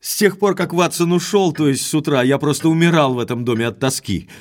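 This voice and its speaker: An actor playing a frank, courteous young baronet in an audio drama. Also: male voice, on the high side, fast talker, close to an everyday voice. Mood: bored, curious. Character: Sir Henry, frank young baronet back from America. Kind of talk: Russian audio drama acting.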